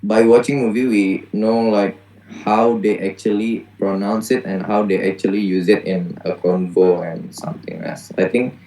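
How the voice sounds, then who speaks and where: A man's voice: fairly low pitch, steady tone, normal speed, dark tone, low-quality recording. Hesitant young man, Indonesian accent. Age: young adult. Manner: hesitant, many "like" and "you know" fillers. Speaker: interviewee. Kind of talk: casual interview answers.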